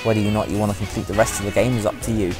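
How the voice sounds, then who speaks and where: A man's voice: on the low side, flat delivery, racing. Man giving countdown commentary over music. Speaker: presenter of a gaming countdown video. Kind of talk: opinionated review commentary voice-over.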